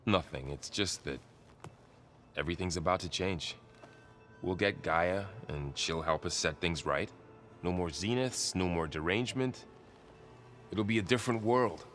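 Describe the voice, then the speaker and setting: An actor playing a loyal, hopeful young warrior in a video game, soft-spoken and thoughtful. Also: male voice, low-pitched, a little slow, pauses often. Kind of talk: naturalistic cinematic video game acting. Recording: game dialogue over music.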